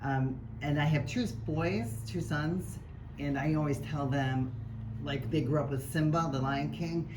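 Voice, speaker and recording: A woman's voice: sits low, unhurried. A woman from the audience introducing herself in an earnest, plain-spoken way. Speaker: audience member. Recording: background noise.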